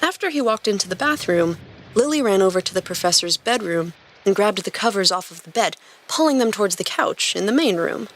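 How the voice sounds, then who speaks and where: A woman hosting a podcast and narrating stories in a clear scripted reading style. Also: mid-range voice, expressive, a little fast. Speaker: podcast host and story reader.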